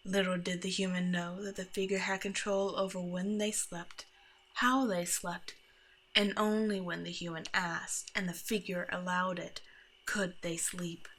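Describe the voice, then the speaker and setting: Woman playing a bright-voiced grumpy bedside monster. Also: fairly low pitch, level tone, measured pace, rough recording. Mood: hushed, storytelling. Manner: exasperated, bossy, mock-threatening. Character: grumpy, sarcastic female monster from under the bed. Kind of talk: scripted ASMR roleplay, speaking to the listener.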